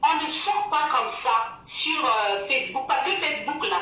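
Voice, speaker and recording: High bright woman, lots of pauses and restarts, far off in a very echoey room. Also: expressive. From Central African Republic. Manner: hesitant, searching for words, many repetitions. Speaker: guest. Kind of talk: casual interview talk, moral advice. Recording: echoey, distant, dull lo-fi sound.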